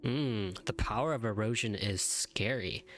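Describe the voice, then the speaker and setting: A man's voice: on the low side, unhurried, a bit bright, soft background music. A man giving casual, live reaction commentary while playing a video game. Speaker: gamer reacting to a game story.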